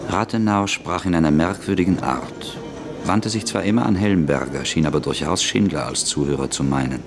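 A man narrating a radio drama in a calm, even storytelling voice. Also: low voice, moderate pace, over music.

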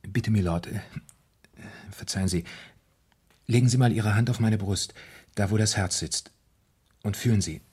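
A troubled, fearful man in a radio crime play. His voice is low and husky. He speaks haltingly, repeating words as he confides his dread. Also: a little mellow. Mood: anxious, tormented. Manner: hesitant, halting, repeating himself. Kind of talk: German radio crime drama acting.

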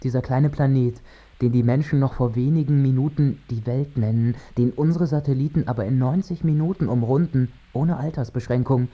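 A man's voice: medium pitch, fairly quick, muffled recording, lightly acted. An actor playing a man who muses like an astronaut on the moon, in a literary, declamatory radio monologue. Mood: incantatory, solemn. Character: male narrator musing like an astronaut on the moon.

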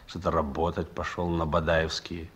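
A man's voice: rumbling low, completely flat delivery, normal speed, a bit bright. An actor playing a hard-bitten, taunting criminal man in a Soviet radio drama, sardonic and menacing, on an old recording. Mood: needling. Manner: sardonic, needling, slangy.

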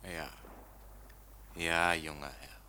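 A man's voice: rumbling low, steady tone, extremely slow, bright, forceful tone, slight background noise, heavily acted. A man in a German radio play acting a worldly boatman and grotto guide, coaxing, servile yet commanding. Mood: soothing.

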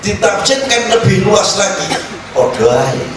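A man's voice: sits high, big pitch swings, measured pace, distant mic. A man with a Javanese accent preaching an Islamic sermon in an exhortative, emphatic way, often posing rhetorical questions. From Indonesia. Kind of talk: sermon mixing Indonesian with regional speech and Arabic quotations. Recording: echoey, noisy hall recording from afar.

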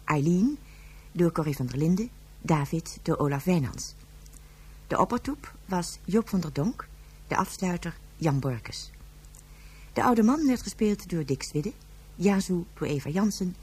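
A woman with a slightly low, dark, husky voice announces radio play credits. Her reading is measured, formal and scripted.

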